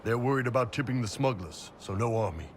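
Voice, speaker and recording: An actor playing a big, laid-back horned mercenary warrior in a video game, talking bluntly and casually. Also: male voice, medium pitch, animated, fast, slight background noise, lightly acted. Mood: matter-of-fact.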